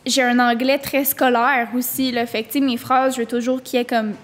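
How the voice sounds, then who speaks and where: A woman's voice: on the high side, flat delivery, a little fast. A young woman, chatting casually as a singer-songwriter guest on a podcast, full of fillers. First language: French. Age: young adult. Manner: chatty, lots of fillers, laughs easily.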